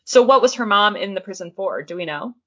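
Brief: woman co-host, bright tone, laughs a lot, eager, slightly lo-fi. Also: medium pitch, expressive, a little fast. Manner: laughs often, swears casually, enthusiastic reactions. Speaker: podcast co-host. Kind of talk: casual podcast chat.

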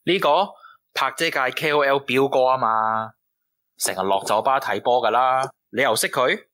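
A man's voice: mid-range voice, normal speed, pushed and bright, natural voice. A man acting a cagey, streetwise informant in a radio drama, using slangy Hong Kong Cantonese. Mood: cagey, knowing.

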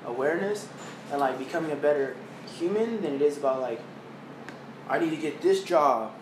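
A man's voice: on the high side, animated, fairly quick. A young man in his twenties chatting casually, rambling loosely with lots of "like" and casual swearing. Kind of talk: casual chat. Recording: roomy, distant mic with background noise.

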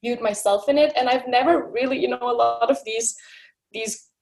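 A young woman from Denmark speaks English in a slightly high, bright voice. She chats in an upbeat, friendly way as a podcast guest, laughing often and using lots of fillers. Her delivery is casual, as if talking with a friend. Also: moderate pace. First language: Danish. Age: early 20s. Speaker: guest, YouTuber.

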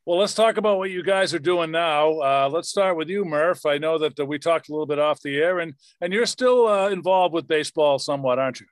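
A man's voice: a little high, fairly quick, few pauses, dry recording. A man hosting a podcast, talking conversationally with his guests. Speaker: podcast host, former radio play-by-play announcer.

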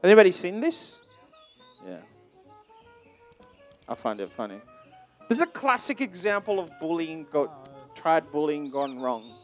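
A man whose first languages are Swahili and French, preaching informally to young people with conversational, animated storytelling and questions to his listeners. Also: fairly high pitch, a little slow, pauses often, soft background music. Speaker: preacher speaking to a youth group. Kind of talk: informal sermon with personal anecdotes.

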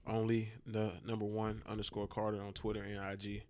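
Blunt opinionated man, low and quick. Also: completely flat delivery, a little mellow, a little hiss or hum. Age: 30s. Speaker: podcast guest. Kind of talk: casual group chat.

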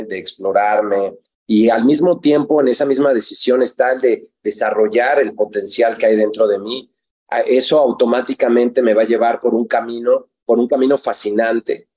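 A man's voice: medium pitch, dark tone. A man, a life coach guest speaking reflectively in a conversational interview, with frequent fillers and restarts.

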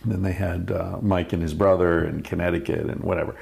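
A man's voice: deep voice, steady tone, fairly quick, gravelly, darkish tone. A man hosting a podcast in casual chat, telling stories with jokes and swearing. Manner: joking, profane storyteller. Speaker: podcast host.

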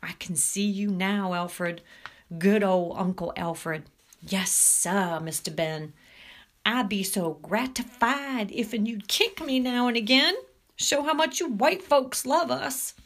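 Lady doing a cocky teen guy bully from a storybook, mocking and sneering, drags the words out slow. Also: medium pitch, fairly bright tone. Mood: jeering imitation. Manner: taunting, drawn-out jeering. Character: muscular swaggering teenage male bully, sneering and mocking.

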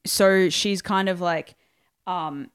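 A young woman co-hosting a casual pop culture podcast, chatty and quick to laugh, with lots of fillers. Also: lowish voice, steady tone, smooth, no room sound, clean studio sound. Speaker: podcast co-host. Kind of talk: casual chat. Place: home studio at night.

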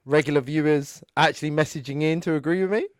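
A man's voice: a little high, fast, no room sound, clean studio sound. A man co-hosting a casual film review podcast, jokey and defensive, often stumbling over his words. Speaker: podcast co-host.

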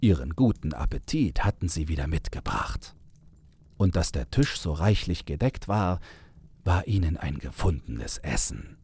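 Male narrator, very low, very husky, unhurried, dark warm tone, scripted fairy-tale storytelling. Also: sing-song, dry recording.

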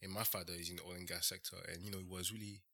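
A young man with a French accent, speaking in a very deep, gravelly voice with a bright, forceful edge. He talks in a flat, even tone and pauses often on "uh" as he tells his story. This is casual interview talk from a podcast guest. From France. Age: young adult. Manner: measured, pauses with frequent "uh" and "um".

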